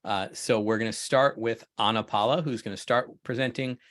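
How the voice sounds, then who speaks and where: A man with a medium-pitched voice acts as host of an online talk. He speaks informally and in a welcoming way, as a co-presenter introducing the session. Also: fairly even intonation, moderate pace, a bit bright. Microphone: video call.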